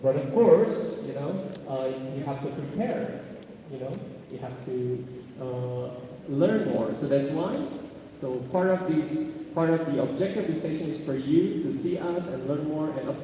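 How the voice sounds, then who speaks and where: A man's voice: a little high, measured pace, warm tone. A man moderating a discussion before an audience, putting questions to a guest. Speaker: host of a discussion session. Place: large echoey room at an event. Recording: muffled, echoey hall from far off, faint noise.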